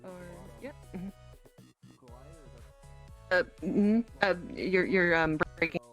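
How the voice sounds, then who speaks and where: A woman's voice: lowish voice, slow, a bit bright. A woman chatting casually on a live gaming stream, flustered, apologetic and hesitant. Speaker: host of a virtual-reality roleplay livestream. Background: music.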